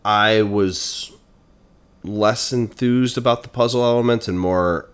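A man co-hosting a casual video game podcast, sounding stuffed up from a cold, chatting in a relaxed way. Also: fairly low pitch, slow pace, smooth. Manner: relaxed, chatty, reads synopses aloud. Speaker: podcast co-host.

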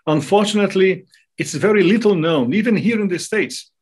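A man's voice: high voice, brisk, darkish tone, slightly rough. A man from Brazil, a native Portuguese speaker with a Brazilian accent, explaining things as a guest speaker in an interview. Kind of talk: interview talk, explaining devotional topics.

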